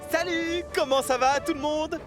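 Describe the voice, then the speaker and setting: A man's voice: very high-pitched, fairly even intonation, moderate pace, fairly bright tone, faint music behind. A male actor voicing a small, friendly young steam engine in a children's cartoon, plain-spoken and boyish. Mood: cheerful. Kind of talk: dubbed children's cartoon dialogue.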